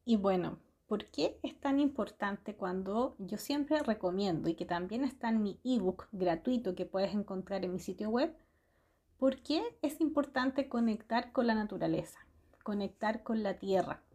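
A woman's voice: medium pitch, expressive, unhurried, dark tone. A Chilean woman hosting a radio program, talking in a cheerful, spiritual monologue that she fills with tag questions and laughter. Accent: Chilean Spanish. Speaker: radio host. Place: small house in a hillside forest.

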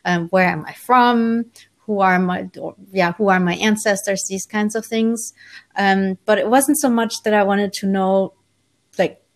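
A woman in her forties, raised in Germany, telling her story in an interview as a spiritual author, relaxed and thoughtful with frequent pauses. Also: medium pitch. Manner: relaxed, thoughtful, many ums, light laughs.

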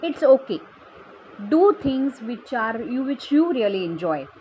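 High-pitched woman telling children's stories. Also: very animated, measured pace, darkish tone, slight background noise, rough recording. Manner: addresses children directly with questions and asides. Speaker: children's storyteller and host. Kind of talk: bedtime story narration for kids.

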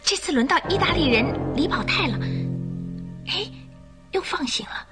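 High bright young lady, excitable, curious, tender, expressive radio drama delivery, lively intonation. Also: normal speed, over music. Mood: excited, intent. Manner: emotive, expressive. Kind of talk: Mandarin radio drama acting.

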